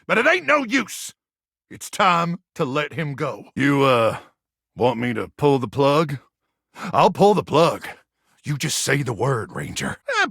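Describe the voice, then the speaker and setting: High, gravelly, feral wasteland zealot. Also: male voice, a bit bright, no echo, clean studio sound, heavily acted. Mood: gruff, somber. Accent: American. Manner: folksy drawl, preachy and theatrical. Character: feral, zealous, bragging wasteland soldier of a household appliance. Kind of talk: video game character voice acting.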